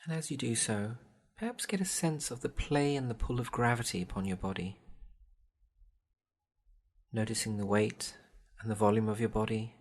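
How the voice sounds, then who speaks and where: A man with a low-pitched, husky voice leads a guided body scan meditation. He speaks gently and soothingly, giving measured instructions and leaving long pauses between phrases.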